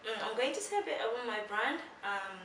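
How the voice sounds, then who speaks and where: A woman in her twenties from Tanzania, a designer answering interview questions in a soft, modest way, laughing now and then. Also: fairly high pitch, animated, a little fast. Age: 20s. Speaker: guest, accessory designer. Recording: echoey room, mic at a distance, noisy.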